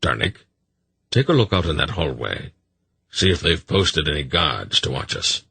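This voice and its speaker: A commanding old sorcerer, voiced by a man reading a fantasy audiobook, with a deep, rough-edged voice. He is terse, practical and matter-of-fact. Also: very animated, moderate pace, sharp tone, no room sound, exaggerated voice.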